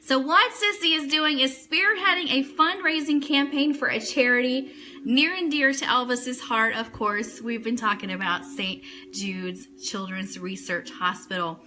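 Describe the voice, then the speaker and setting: Female online radio host, bright and fairly high, talking informally and directly to a live audience of viewers. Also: unhurried. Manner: chatty, addressing viewers and reading their comments. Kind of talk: casual livestream chat with news items.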